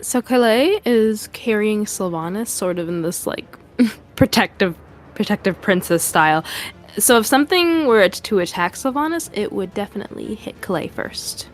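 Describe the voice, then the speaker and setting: A woman's voice: medium pitch, normal speed, faint music behind. A woman playing a tabletop game, describing her character's moves and laughing often. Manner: lively, laughing, swears freely. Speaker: player.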